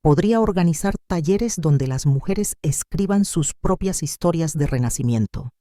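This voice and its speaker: Gentle, courteous older Portuguese man, mellow-voiced narrator-acted. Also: medium pitch, lively intonation, normal speed, dry recording, clean studio sound, natural voice. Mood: gentle encouragement. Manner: polite, encouraging. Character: gentle, courteous Portuguese widower in his sixties.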